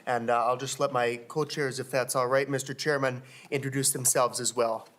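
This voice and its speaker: A man's voice: medium pitch, little pitch movement, normal speed, a bit bright, slight background noise. A young man from Minnesota, a student leader, giving earnest prepared testimony into a desk microphone at a legislative hearing. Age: young adult. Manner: earnest, formal, speechlike.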